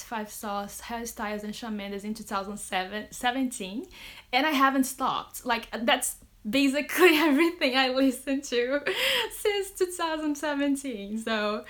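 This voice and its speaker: A woman's voice: mid-range voice, fairly bright tone. Chatty Brazilian woman author on a live stream. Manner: chatty, laughs often, many fillers and restarts. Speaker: romance author. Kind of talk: casual live Q&A with fans. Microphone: phone on a social media live stream.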